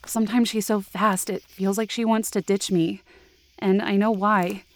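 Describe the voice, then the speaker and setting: A woman's voice: medium pitch, little pitch movement, normal speed, natural voice. Woman acting as a guilt-ridden young female narrator. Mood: guilty, subdued. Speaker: narrating character in fiction podcast. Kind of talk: scripted first-person audio drama narration.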